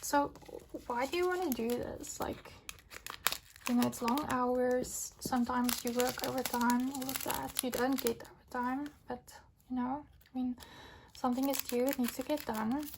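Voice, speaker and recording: A young adult woman from South Africa, husky as she recovers from illness, chatting casually and rambling with fillers while crafting at home. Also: high-pitched, steady tone, unhurried. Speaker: diamond painting vlogger. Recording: home recording with craft crinkling noise. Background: crinkling packets and clicks.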